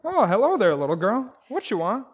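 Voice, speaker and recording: Woman playing blustery, animated radio-comedy husband. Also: mid-range voice, brisk, slight background noise, natural voice. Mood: puzzled, indulgent. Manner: folksy, exclamatory, blustering. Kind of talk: old-time radio comedy acting.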